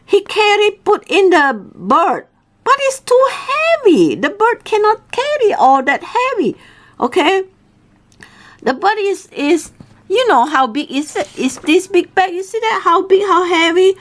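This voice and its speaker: Female storyteller-teacher, non-native English, slow and highly animated, repeats simple phrases and keeps prompting listeners. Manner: checks in often with questions and tags. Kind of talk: animated storytelling lesson for learners.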